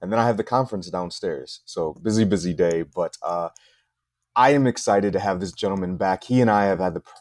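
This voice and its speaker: A middle-aged American man hosting a casual podcast interview, talking in a halting way with repeated words. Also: low voice, a little mellow, dry recording, studio quality. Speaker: podcast host.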